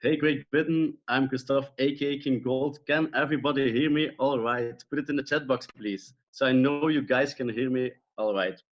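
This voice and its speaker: A man's voice: medium pitch, fairly even intonation, normal speed, few pauses, dull audio. A man from Belgium with a Belgian accent, whose first language is Dutch, giving a live online trading lesson in an upbeat way that checks in with his listeners often. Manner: upbeat, repeats phrases and checks in with "okay?". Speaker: trading educator.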